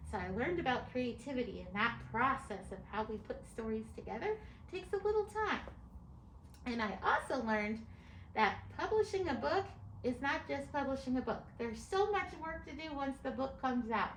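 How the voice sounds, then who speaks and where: A woman's voice: high-pitched, lively intonation, moderate pace, a bit bright. A middle-aged American woman, an author explaining things step by step in an articulate way as she answers interview questions. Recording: echoey room with clear background noise.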